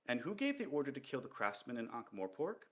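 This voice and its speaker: A man's voice: medium pitch, huge pitch swings, fairly quick, a bit bright. A man reading a novel aloud and voicing a patient male dwarf king who speaks in a comfortable, sing-song way. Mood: calm.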